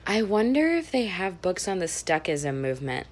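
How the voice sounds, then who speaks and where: A woman chatting casually as a podcast co-host, telling stories in an informal, wry and animated way. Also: on the low side, normal speed. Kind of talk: casual conversational storytelling.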